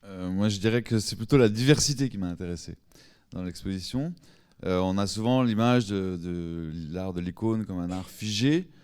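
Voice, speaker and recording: Low, clear-voiced man explaining. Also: moderate pace, a little mellow. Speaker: panel guest. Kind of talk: explanatory panel talk.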